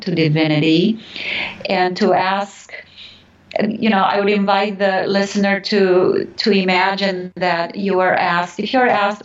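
A woman from Romania speaks as an interview guest in a fairly low voice. She is earnest and reflective, feeling her way toward the right words. The sound is dull and echoey, as if she sits some way from the mic. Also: far from the mic. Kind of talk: reflective spiritual interview answers.

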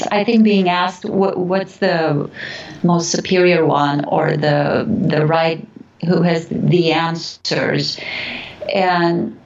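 Woman from romania on an interview, lowish voice, earnest, kinda searching for words, roomy echo and muffled. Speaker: interview guest, author. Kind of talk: reflective spiritual interview answers. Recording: echoey, distant, dull sound.

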